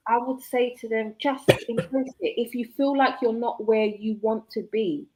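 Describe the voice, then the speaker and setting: A woman, a guest author talking casually in an interview, chatty and quick to laugh. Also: fairly high pitch, fairly even intonation, darkish tone, rough recording. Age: 40s.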